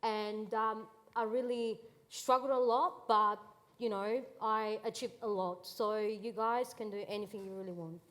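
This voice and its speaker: A woman's voice: mid-range voice, steady tone, measured pace, a bit bright. Woman student panelist, native Italian speaker, earnest and hesitant. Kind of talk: casual panel talk.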